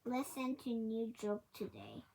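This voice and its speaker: A woman reading a children's picture book aloud haltingly, word by word, with stumbles and self-corrections. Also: on the high side, very animated, gravelly, crowd noise, low-quality recording. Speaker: storybook reader.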